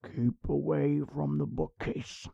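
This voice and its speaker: Male vampire in his coffin: croaking gravel, slow, menacing and pleading, radio horror. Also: on the high side, fairly even intonation, dark tone, dry recording, dull audio, full character voice. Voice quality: gravelly, raspy. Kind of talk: old-time radio horror acting.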